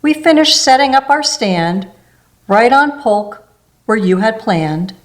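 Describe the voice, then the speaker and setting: A woman reading a rhyming children's picture book aloud, gentle and unhurried, with small asides between the pages. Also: a little high, animated. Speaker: storybook reader.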